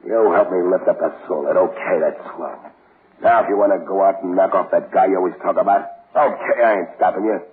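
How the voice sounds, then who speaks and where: An actor playing a scheming, tough male convict who breaks out of prison, in gruff streetwise old-time radio acting. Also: low-pitched, a little fast, lightly acted. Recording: tinny old radio broadcast with hiss.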